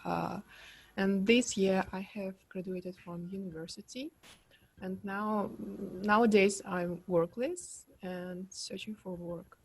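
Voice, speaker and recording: A woman's voice: medium pitch, fairly even intonation, slow, slightly rough. A young woman gives a brief, shy self-introduction on a video call. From Kazakhstan. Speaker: participant.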